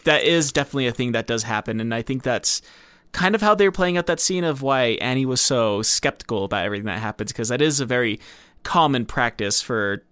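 A man's voice: medium pitch, lively intonation, a little fast, a bit bright, clean tone, dry recording. A man co-hosting a podcast, explaining his take on a film in a casual, conversational way. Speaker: podcast co-host.

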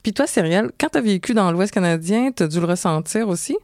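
A woman from Montreal with a Québécois accent, co-hosting a travel podcast in a lively, folksy way. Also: medium pitch, brisk, no room sound, studio quality. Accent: Québécois French. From Montreal, Quebec. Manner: lively, folksy Quebec expressions. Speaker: podcast co-host. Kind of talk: scripted travel podcast talk.

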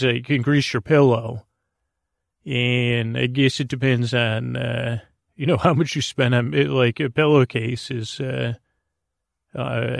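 A man hosting a sleep podcast in a rambling monologue, with a creaky voice and a soothing, meandering, halting delivery that often repeats words. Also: medium pitch, no room sound, studio quality. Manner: soothing, lulling. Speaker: podcast host.

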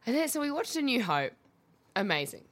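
A woman's voice: fairly high pitch, very animated, a little fast, a bit bright. A young woman, a podcast guest, chatting casually and bubbly, laughing often as she tells stories.

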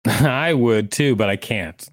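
Male podcast co-host, deadpan banter, mid pitch. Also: takes it slowly, no echo, clean studio sound. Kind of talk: casual banter and reading aloud.

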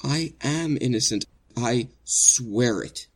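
A man's voice: medium pitch, takes it slowly, breathy edge. Earnest, anxious male prisoner played by an actor. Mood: earnest, troubled. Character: earnest, anxious condemned prisoner protesting his innocence. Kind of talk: 1940s radio drama acting.

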